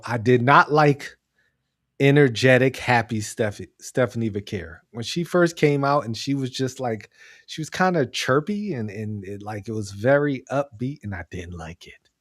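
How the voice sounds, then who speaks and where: A man's voice: mid-range voice, dry recording, clean studio sound. A man co-hosting a podcast in casual chat, giving his opinions and repeating phrases for emphasis. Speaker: podcast co-host. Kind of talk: casual chat, pro wrestling commentary.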